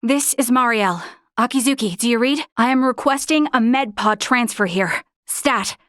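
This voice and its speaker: A woman's voice: a little high, normal speed, a bit bright, no echo, clean studio sound, lightly acted. A woman voicing an earnest, principled young female first officer of a starship in a video game, with formal, precise diction. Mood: composed, formal.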